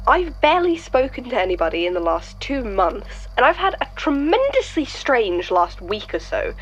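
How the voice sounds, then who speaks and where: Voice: mid-range voice, sing-song, moderate pace, fairly bright tone, rough recording. An actor playing a wry, weary, blunt troublemaker in an audio drama, talking in a dry, hesitant, conversational way. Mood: wry, defensive. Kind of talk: fan audio drama acting.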